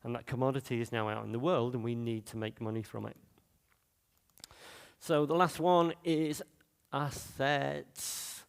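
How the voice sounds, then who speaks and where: A man's voice: medium pitch, a little slow, vocal fry, clear, resonant tone, long reverb tail. A man with a Manchester accent giving a conference talk in a loose, chatty way, with many fillers and restarts. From Manchester, England. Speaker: conference speaker.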